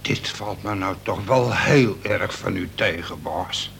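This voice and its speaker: A man's voice: slow, creaky, fairly bright tone, heavily acted. A man playing a cheeky convict and old rogue, slangy and mock-deferential, in a Dutch radio play. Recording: noisy old radio recording with rumble.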